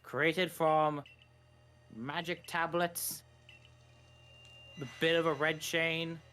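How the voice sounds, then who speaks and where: A man's voice: fairly high pitch, flat delivery, drawn-out words, bright, forceful tone, faint music behind. A man co-commentating on a video game, casually explaining details as they come.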